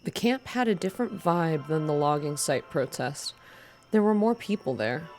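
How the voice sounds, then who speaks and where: Low-pitched woman reading a story, ASMR style. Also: animated, normal speed, darkish tone, faint music behind. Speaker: reader of a visual novel game. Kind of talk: soft ASMR reading, scripted story narration with voiced dialogue.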